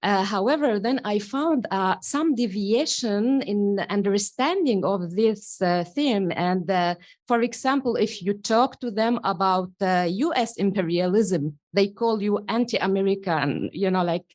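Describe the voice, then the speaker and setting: A woman's voice: mid-range voice, a little slow, darkish tone. A woman from Syria giving a comment to a lecturer from the audience, hesitant and searching for her words.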